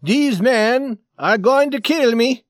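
A man's voice: sits high, takes it slowly, clean tone, dry recording, clean studio sound, voice pushed up. A man voicing a nervous, suspicious, desperate man in a story, agitated and pleading. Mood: terrified.

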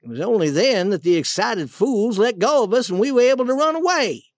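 A narrator as hot-tempered fraud duke, storybook rogue, scolding, emphatic, raised high pitch, very animated. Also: normal speed, dry recording, clean studio sound, full character voice. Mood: scornful. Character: scornful, hot-tempered male con-man posing as duke.

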